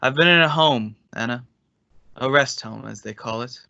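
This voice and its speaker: An actor playing an unhinged, quietly menacing man in an old-time radio suspense drama, measured and sardonic, in slightly dull sound. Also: medium pitch, vocal fry, dry recording. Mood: bitter, sardonic.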